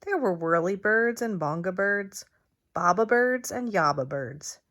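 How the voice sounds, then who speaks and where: A woman reading a children's storybook aloud in a deliberate, expressive teacher's manner. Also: medium pitch.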